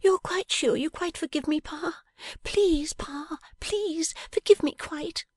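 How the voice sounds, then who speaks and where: A woman reading an audiobook, voicing a playful, affectionate young wife in a high, light, wheedling voice. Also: takes it slowly, darkish tone, no echo, studio quality. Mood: coaxing.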